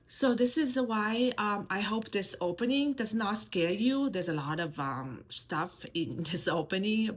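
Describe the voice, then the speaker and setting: Soft-spoken Mandarin-accented woman in her thirties. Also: deep voice, breathy edge. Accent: Mandarin Chinese. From China. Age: 30s. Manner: hesitant, many fillers and restarts. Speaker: channel host. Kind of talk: personal vlog talk to camera.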